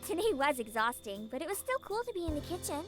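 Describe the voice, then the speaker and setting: A young woman playing a spirited, teasing little sister in a video game, casual, sassy and expressive. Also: sits high, quick pace, soft background music. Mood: weary.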